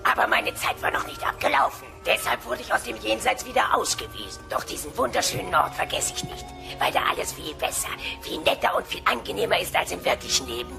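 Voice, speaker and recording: A woman's voice: mid-range voice, very animated, fairly quick, hardly any pauses, music in the background. A woman playing a crabby, shrewd old dinosaur grandmother with a dry, theatrical storytelling manner in a comedy audio play. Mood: wistful storytelling. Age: older. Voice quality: creaky, gravelly.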